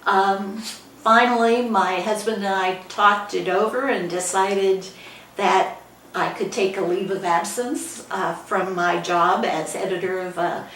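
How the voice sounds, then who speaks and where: Older American woman, author giving an informal talk, halting delivery, recorded at a distance with background noise. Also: medium pitch, fairly even intonation, a bit bright. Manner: halting, with frequent pauses mid-sentence. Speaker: guest author and former newspaper editor. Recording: echoey room, distant mic, background noise.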